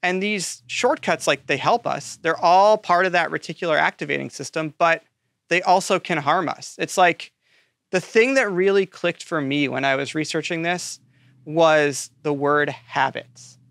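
Man, higher-pitched, very bright tone, dry close sound, casual explainer, nerdy enthusiasm. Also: a little slow, no room sound. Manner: enthusiastic, self-described nerdy explainer with rhetorical "right?" check-ins.

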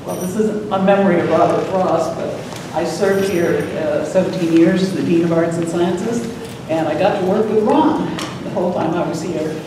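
A woman's voice: on the low side, normal speed. An older woman speaking informally in tribute, as a retired dean, from among an audience. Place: lecture room, standing among audience. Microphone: distant camera microphone. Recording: very echoey room, distant, noisy.

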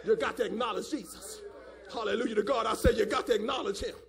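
A male preacher with a very high, strained-register voice full of gravel. He delivers a fiery sermon, hammering phrases through repetition and often shouting them, pressing for responses. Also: fast talker.

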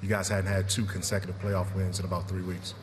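A man asking a brisk, direct reporter's question at a press conference. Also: low voice, flat delivery, background noise. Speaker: sports reporter.

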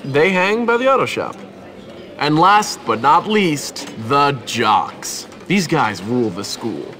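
Manipulative teen schemer for a school game: highish voice, hugely animated, sarcastic, theatrical, fake-friendly. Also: male voice, slow pace, heavily acted. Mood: sarcastic, mocking. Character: manipulative, mocking teenage boy, manic school schemer. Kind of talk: video game cutscene acting.

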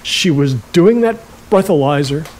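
An actor playing a stubborn, halting older man, a reclusive rental host, in an audio drama. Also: fairly high pitch, expressive, darkish tone, slight background noise. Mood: bitter, grieving. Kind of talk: naturalistic audio drama acting.

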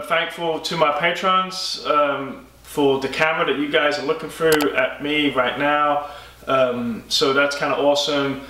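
A man in his thirties, a furniture maker giving a casual update to camera from his woodworking shop, talking in a halting way with many ums. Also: fairly high pitch, bright, forceful tone. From United States. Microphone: camera mic a few feet away. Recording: echoey workshop, mic at a distance.

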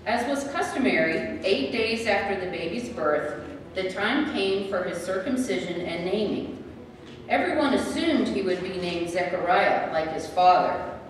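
A woman's voice: medium pitch, lively intonation, measured pace. Lady narrator reading bible story, church hall reverb, far from the mic. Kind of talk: scripted scripture narration. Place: large church sanctuary. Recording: echoey church from far off, faint noise.

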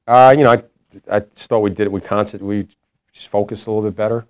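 Fast, flat-voiced coach at a press conference. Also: male voice, lowish voice, clean tone, dry recording. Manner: halting, many restarts and fillers. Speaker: head basketball coach. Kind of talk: postgame press conference answers. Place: indoor press room before a logo backdrop. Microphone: lapel mic.

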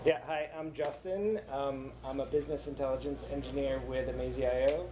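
A man's voice: mid-range voice, fairly even intonation, moderate pace, a crowd in the background, rough recording. Casual man introducing himself to an audience. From Albany, New York. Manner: casual, brief, a little hesitant. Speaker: conference co-speaker. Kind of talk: self-introduction at a conference. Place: conference room. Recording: audience noise in the room.

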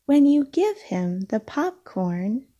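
A woman reading a children's storybook aloud, with deliberate, expressive phrasing for young listeners. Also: fairly high pitch, highs cut off. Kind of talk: read-aloud storybook narration.

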